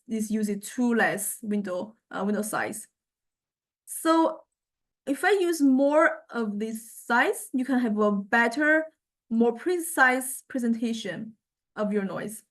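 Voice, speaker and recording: A woman lecturer with a somewhat high voice talks through an informal software demonstration. She speaks haltingly, thinking aloud and feeling around for the right words as she explains.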